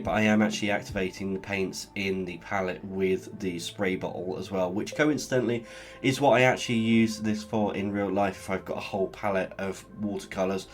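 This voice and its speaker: A man with a stuffy cold, chatting casually as he talks through a painting. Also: low-pitched. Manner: relaxed, chatty, explains as he paints. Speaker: art channel host. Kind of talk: casual art tutorial narration.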